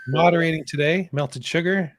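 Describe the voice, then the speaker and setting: A man's voice: on the high side, normal speed. A man hosting a live stream, upbeat and welcoming as he reads out viewer messages, while a little under the weather. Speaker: host reading super chats. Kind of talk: casual live-stream chat.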